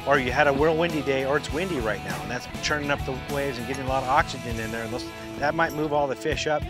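A man's voice: mid-range voice, fast talker. A middle-aged man giving practical fishing tips outdoors on a boat by a lake, explaining step by step with frequent restarts. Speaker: fishing instructor. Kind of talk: outdoor instructional talk. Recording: music bed under the voice. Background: guitar music.

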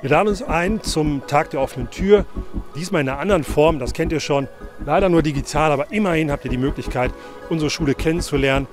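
A man's voice: on the high side, fast talker, faint music behind. A middle-aged man giving a friendly scripted welcome to young pupils, speaking outdoors into a handheld mic. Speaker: school staff welcoming visitors. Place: outdoors in a schoolyard. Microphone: handheld reporter mic.

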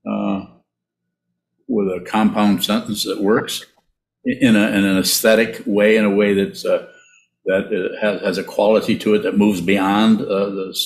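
An older man speaks in a low voice, as a Buddhist teacher would in an informal talk. He is reflective and halting, often repeating a word as he goes. The sound is a roomy, echoey video call. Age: older, around 70s. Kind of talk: informal dharma talk and answering questions. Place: small indoor room, on a video call.

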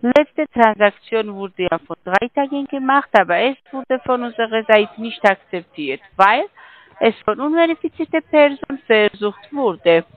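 A non-native woman with a foreign accent, reciting a scripted call centre pitch over the telephone. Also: animated, measured pace, no echo. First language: not German. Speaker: scam caller posing as investigator. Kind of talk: scripted phone pitch in broken German. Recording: phone-like telephone line.

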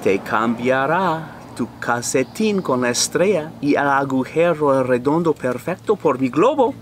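A middle-aged man reading a children's picture book aloud outdoors in a slow, animated, theatrical way. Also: medium pitch. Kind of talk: expressive children's read-aloud in character voices. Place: outdoors in a fenced backyard. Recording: outdoor ambient noise.

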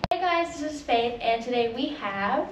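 A woman's voice: on the high side, expressive, normal speed. A young woman asking prepared questions as an interviewer in a vlog. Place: small backstage dressing room. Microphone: camera across the room. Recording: roomy, distant camera mic, background music.

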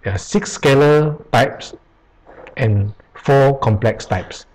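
A man walks through programming basics in a screen-recorded tutorial, reading slowly from web pages. He talks at a leisurely pace in a mid-pitched voice. He often pauses and restarts as he goes. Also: darkish tone, low-quality recording. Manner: slow, halting, reads aloud with pauses and restarts. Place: at a computer, voice-over on screen capture.

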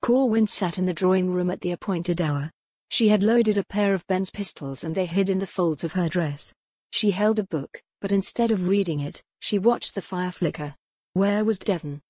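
A woman audiobook narrator reads historical romance as dramatic, scripted storytelling. Her voice sits a little low. The sound is dry and free of room echo. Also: normal speed, darkish tone.

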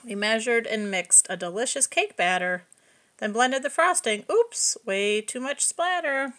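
A woman reading a picture book aloud to young children, expressive and explaining things simply. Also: on the high side, unhurried. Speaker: preschool story reader.

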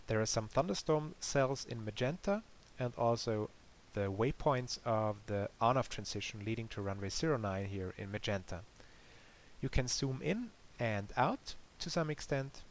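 A man giving a matter-of-fact, explanatory tutorial voice-over for a simulator game. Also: mid-range voice. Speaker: developer demonstrating a simulator game. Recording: clear background hiss.

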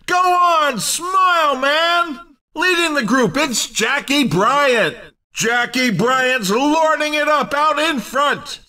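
An actor playing an excited, upbeat race commentator in a video game, calling the action in punchy exclamations. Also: male voice, very high voice, distant mic, exaggerated voice. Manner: exuberant, punchy, exclamatory announcing. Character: hyped-up, upbeat male racing game commentator.

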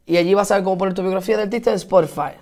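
A man's voice: sits high, fairly even intonation, very fast, darkish tone. A man with a Dominican accent hosting a casual home live stream, chatty and encouraging, greeting viewers and answering their comments, repeating points for emphasis. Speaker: host of a live stream for musicians.

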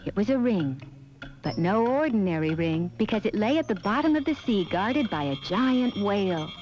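A woman's voice: mid-range voice, expressive, normal speed. A woman storyteller reading scripted narration for a children's fairy tale. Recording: dull lo-fi soundtrack with music behind. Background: background music.

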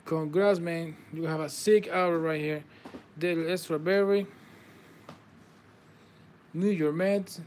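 A man hosts a card break at an indoor table, his voice a little higher than most, unhurried and slow. His first language is Spanish, and his phrasing shows it as he congratulates viewers on their pulls. A faint hiss sits under his voice. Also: fairly even intonation, darkish tone. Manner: upbeat, congratulating viewers, non-native phrasing. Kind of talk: live card-breaking commentary.

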